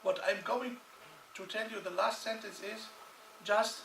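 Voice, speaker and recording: A man's voice: sits high, steady tone, measured pace, creaky, a bit bright. A man from Lebanon giving a conference talk in non-native English, emphatic and repeating phrases for stress. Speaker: conference speaker. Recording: echoey hall, distant mic, noisy.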